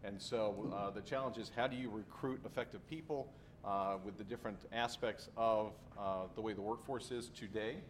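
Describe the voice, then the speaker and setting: A man's voice: lowish voice, steady tone. Hesitant man in an echoey hall. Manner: halting, many fillers and restarts. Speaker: conference session presenter. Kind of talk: impromptu summary talk. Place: large conference room. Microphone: handheld microphone. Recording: very echoey room with noise.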